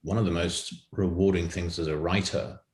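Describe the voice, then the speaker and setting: A man's voice: low-pitched, steady tone, moderate pace, a little mellow. A man speaking conversationally as a writer in an interview, with a roomy, echoey sound.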